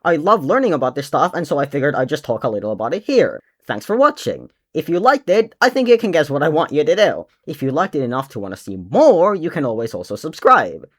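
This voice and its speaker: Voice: medium pitch, sing-song, quick pace, few pauses. A young adult native Finnish speaker talking in a casual vlog, blunt and sarcastic, swearing now and then while ranting. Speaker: video creator.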